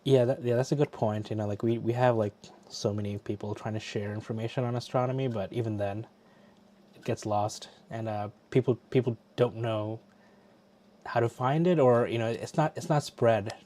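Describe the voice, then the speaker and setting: A man's voice: mid-range voice, fairly even intonation, normal speed, dark tone. A middle-aged man from Bangladesh hosting a casual live stream, chatty and loose, often restarting his sentences. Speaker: livestream host. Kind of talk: casual chat.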